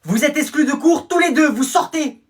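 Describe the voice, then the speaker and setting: A performer voicing a strict male schoolteacher who barks orders in a raised, high voice. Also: normal speed, lightly acted. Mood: stern. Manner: stern, raised voice.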